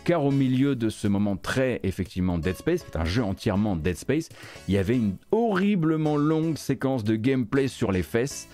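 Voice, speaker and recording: A man's voice: medium pitch, sing-song, normal speed, mellow, soft background music. A man hosting a live video game news recap, giving opinionated commentary with emphatic repetition. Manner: emphatic, repeats words for stress.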